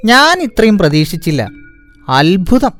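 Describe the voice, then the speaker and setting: A man voicing a sly chicken-thieving fox in a cartoon, flattering and scheming with exclamatory mock admiration. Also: high voice, normal speed. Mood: wheedling. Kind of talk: cartoon dialogue. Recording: music behind the voice.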